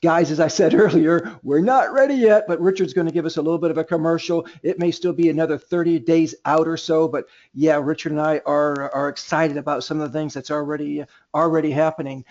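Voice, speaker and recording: Higher-voiced halting man hosting, muffled call. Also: fairly even intonation, darkish tone. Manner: halting, repeats words and phrases. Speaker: host of a sales team conference call. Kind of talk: informal moderating and coaching. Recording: dull, lo-fi conference call line.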